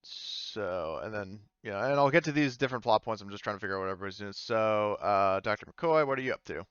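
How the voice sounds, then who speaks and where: A man working as game master, narrating a tabletop game casually and haltingly as he thinks aloud. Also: on the low side, a bit bright, smooth, no room sound, dull audio.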